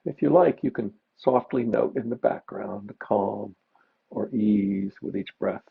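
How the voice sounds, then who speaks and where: Gravelly, low-voiced man guiding meditation. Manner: gentle, unhurried instruction with pauses. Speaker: meditation teacher. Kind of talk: guided mindfulness meditation. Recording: dull, muffled lo-fi recording.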